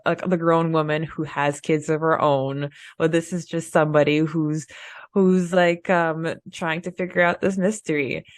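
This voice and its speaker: A woman co-hosting a podcast, chatting casually as a fan in an informal, halting way. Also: sits low, no room sound, clean studio sound. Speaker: podcast co-host.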